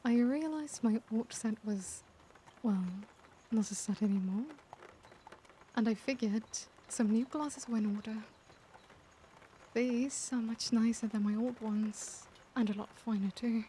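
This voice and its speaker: A woman voicing an elegant, caring vampire lady in a soft-spoken ASMR roleplay, speaking gently with formal, old-world courtesy. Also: fairly high pitch, a little slow, slight background noise, close to an everyday voice. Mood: genial, doting. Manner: genteel, gentle, doting, formal wording.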